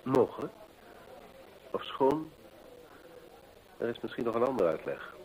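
An actor playing a keen, methodical gentleman detective in an old radio play, deliberate and rhetorical as he reasons aloud. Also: medium pitch, sing-song, poor audio. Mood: analytical, intent.

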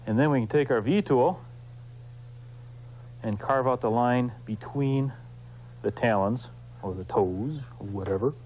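A man hosting an instructional craft demonstration, explaining informally and in a folksy, unhurried way while he works with his hands. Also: medium pitch, a little mellow, slight background noise. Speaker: woodcarving instructor, show host.

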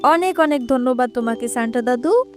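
A woman's voice: high voice, animated, a little fast. A woman voicing an eager, naive young girl in a cartoon. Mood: grateful. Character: excitable, wishful young girl who adores Santa. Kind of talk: cartoon character acting. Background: faint music.

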